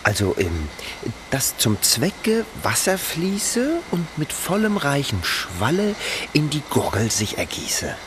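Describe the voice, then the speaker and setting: An actor playing a wry, bumbling local newspaper reporter in a German radio play, flippant and often stammering. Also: male voice, a little high, wildly swooping pitch, slow pace, few pauses, background noise, heavily acted. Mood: eager, flustered. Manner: stammering, flippant, mock-solemn.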